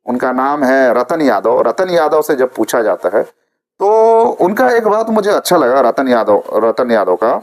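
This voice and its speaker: A man presenting informal news commentary to viewers, repeating phrases for emphasis. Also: fairly high pitch.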